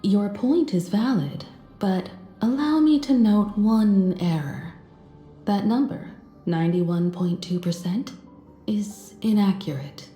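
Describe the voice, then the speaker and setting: An actress playing a poised, diplomatic space traveler in a video game, speaking politely and deliberately with earnest self-assurance. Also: female voice, mid-range voice, mellow, roomy sound, soft background music, close to an everyday voice. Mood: calm, assured. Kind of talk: scripted video game character dialogue.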